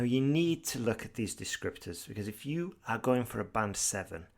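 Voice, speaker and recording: British guy teaching an exam prep podcast, lowish voice, brisk and direct, some ums. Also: darkish tone. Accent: British English. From Britain. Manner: brisk, direct, with fillers and restarts. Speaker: exam preparation tutor. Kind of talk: podcast tutorial.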